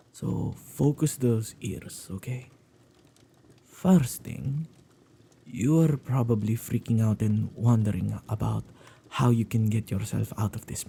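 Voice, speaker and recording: A possessive, playful male witch, played by a man for an ASMR roleplay. The voice is very dark and mellow, with a gravelly creak and a husky edge. He muses and teases in a theatrical way. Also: medium pitch, full character voice. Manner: teasing, theatrical, leisurely musing.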